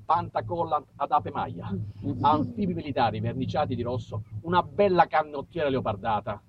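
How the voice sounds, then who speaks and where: A man's voice: a little high, big pitch swings, moderate pace. A man narrating a theatrical comic monologue, addressing listeners directly with dramatic emphasis. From southern Italy. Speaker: storyteller, solo stage performer. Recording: slightly muffled, faint background noise.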